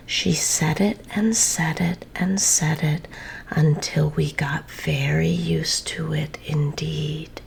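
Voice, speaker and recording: Slow whispering woman. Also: sits low, animated, creaky, slight background noise, poor audio. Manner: soft, slow, gentle whisper. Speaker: storyteller. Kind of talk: whispered storybook reading.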